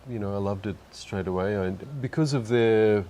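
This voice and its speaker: A man, chatting in an interview in a relaxed, thoughtful way. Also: on the low side, moderate pace, slight background noise. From Australia. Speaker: guest musician. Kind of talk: casual interview chat.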